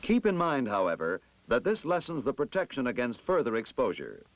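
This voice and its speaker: A man narrating a scripted 1960s military instructional film in a formal, emphatic, measured manner. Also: medium pitch, huge pitch swings, no room sound.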